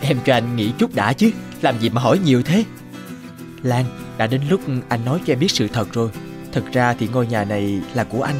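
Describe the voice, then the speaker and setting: A man voicing an earnest young man in an animated moral tale, polite and hesitant in manner. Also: medium pitch, brisk, music in the background, natural voice. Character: earnest young man, hesitant heir hiding his wealth.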